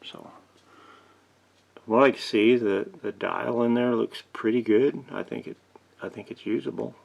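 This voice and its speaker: A man's voice: fairly low pitch, unhurried, gravelly, highs cut off. A man giving casual, folksy, unscripted narration as he works with his hands, thinking aloud as he goes. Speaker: host of a vintage radio repair channel. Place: indoor workshop.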